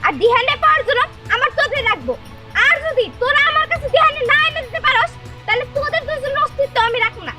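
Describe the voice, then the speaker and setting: A woman's voice: extremely high pitch, animated, fast talker, music in the background. A woman voicing a spoiled, jealous and threatening chairman's daughter in a cartoon drama.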